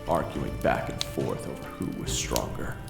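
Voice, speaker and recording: A man narrating a first-person story slowly and evenly, with music playing behind him. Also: very deep voice, gravelly. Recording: echoey room with music.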